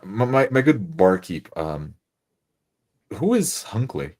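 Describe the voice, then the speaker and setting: A man playing an earnest, devout adventurer in a tabletop game, speaking politely and haltingly with a solemn air. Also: low voice, sing-song, darkish tone, heavily acted. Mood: polite, curious. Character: earnest, devout male adventurer reading omens.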